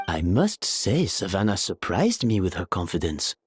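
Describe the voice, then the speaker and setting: Courteous, expressive gentleman manager. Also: deep voice, slightly rough, no room sound, studio quality, heavily acted. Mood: mildly surprised. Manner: polite, measured, formal. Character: courteous, diplomatic male hotel boss, mild and apologetic. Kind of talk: video game voice acting.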